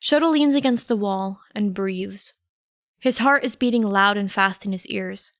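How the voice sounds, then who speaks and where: A woman's voice: medium pitch, unhurried, fairly bright tone, dry recording. A woman reading a story aloud as a scripted audiobook narrator, close to the microphone. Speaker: podfic narrator. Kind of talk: scripted narration of fan fiction.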